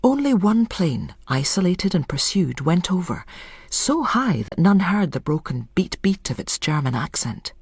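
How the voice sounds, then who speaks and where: A woman's voice: on the low side, sing-song, measured pace, darkish tone, slightly rough, dry recording. A woman reading a scripted literary story as a dramatic narrator.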